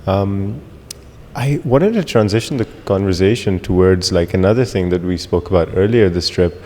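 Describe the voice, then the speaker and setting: Low-voiced man hosting a podcast. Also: moderate pace, a little mellow, big-room sound. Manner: thankful, rambling questions with fillers. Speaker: podcast host. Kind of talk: interviewing a guest.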